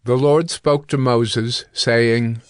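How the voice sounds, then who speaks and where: Slow, deliberate male chapter announcer. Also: medium pitch, steady tone, clear, resonant tone, no echo, studio quality.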